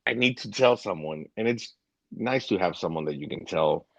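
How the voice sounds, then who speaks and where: A man's voice: low-pitched, lively intonation, moderate pace, gravelly, no room sound, lo-fi sound. Man, easygoing, agreeable, teasing, dry recording. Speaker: podcast co-host. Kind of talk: casual chat.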